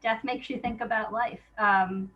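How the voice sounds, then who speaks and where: A woman's voice: fairly high pitch, fairly even intonation, unhurried, dull audio. A woman answering questions as an interview guest, chatting informally and thinking aloud. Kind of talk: casual chat.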